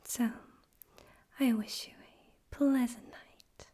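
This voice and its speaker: A woman voicing a smug, theatrical male demon overlord in an ASMR roleplay, in a soft breathy whisper with a deliberate, teasing, condescending manner. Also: a little high, fairly even intonation. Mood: smug, fond.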